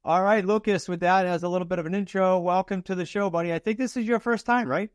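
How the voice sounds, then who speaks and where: A man's voice: high voice, steady tone, fast, smooth, no echo, studio quality. A man hosting a podcast, informal and emphatic, repeating words for stress. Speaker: podcast host. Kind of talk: upbeat podcast intro and interview chat.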